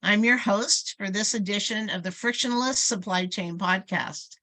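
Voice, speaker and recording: A woman's voice: mid-range voice, normal speed, sharp tone. A woman hosting an interview podcast, introducing and questioning a guest. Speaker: podcast host.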